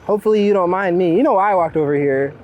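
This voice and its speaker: A man's voice: high-pitched, rapid-fire, lo-fi sound. A man talking casually and reflectively as a street photographer while out walking in a city, often breaking off mid-thought. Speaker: street photographer being interviewed. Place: outdoors on a busy city street. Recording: outdoor city street noise, traffic.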